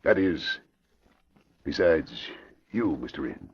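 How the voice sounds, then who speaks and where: A cautious police inspector from a vintage radio crime drama. The voice sits low and moves widely in pitch as he deliberates and hesitates. The recording is dull and old. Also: huge pitch swings, breathy edge, full character voice. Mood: suspicious.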